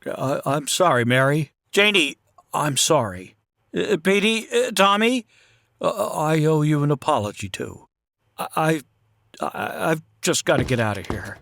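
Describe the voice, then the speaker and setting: A man's voice: fairly high pitch, big pitch swings, a little slow, creaky, fairly bright tone, heavily acted. A man playing a desperate, short-tempered small-town family man in an old-time radio drama, exasperated and prone to stammer. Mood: broken, pleading. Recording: music behind the voice.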